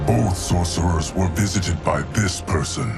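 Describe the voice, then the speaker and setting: An ancient, stoic keeper of time for a video game. The voice is deep and slow, each formal phrase measured and impassive, over clear background music. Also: male voice. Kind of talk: cinematic video game acting.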